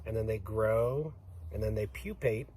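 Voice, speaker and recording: A man's voice: on the low side, a little slow, mellow, a little hiss or hum. A man giving an informal educational explanation for children as a guest, going through things plainly and step by step.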